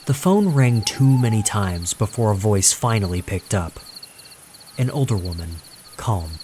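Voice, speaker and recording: A man narrating a scripted horror story in a suspenseful, deliberate manner with dramatic pauses. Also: fairly low pitch, lively intonation, warm tone, slight background noise.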